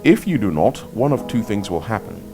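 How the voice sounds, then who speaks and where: Man reading as deep-voiced, coldly threatening abductor. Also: sing-song, fairly quick, gravelly, music in the background. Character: unseen male abductor, coldly threatening and formal.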